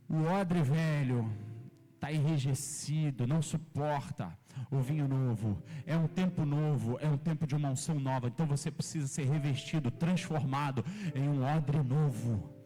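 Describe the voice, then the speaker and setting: Animated pastor preaching. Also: male voice, on the high side, long reverb tail. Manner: animated, imitates sounds and voices, rising to loud emphasis. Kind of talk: sermon with storytelling. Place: church hall.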